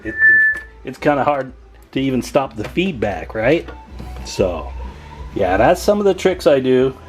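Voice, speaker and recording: A man's voice: medium pitch, sing-song, a little slow, a little mellow, soft background music. An American man giving a casual, folksy hands-on demonstration as a video host, chatting to viewers as he works. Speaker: radio repair hobbyist and video host.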